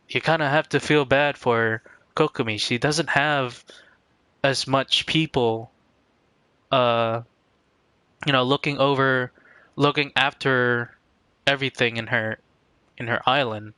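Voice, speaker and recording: A man giving casual live-stream game commentary in a halting, rambling way, often repeating words as he goes. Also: medium pitch, sharp tone, smooth, no echo.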